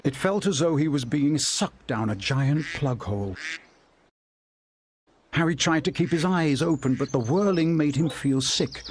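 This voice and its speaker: An actor narrating a children's fantasy story for a video game, with a measured storyteller's delivery. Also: male voice, a little high, expressive, heavy background noise. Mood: measured storytelling. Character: storybook narrator, man telling a children's tale.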